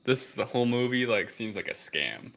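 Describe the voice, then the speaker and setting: A man's voice: mid-range voice, animated, normal speed, vocal fry, crowd noise. A man co-hosting a casual film discussion in an informal, sardonic way. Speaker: podcast co-host. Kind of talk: casual chat, film review.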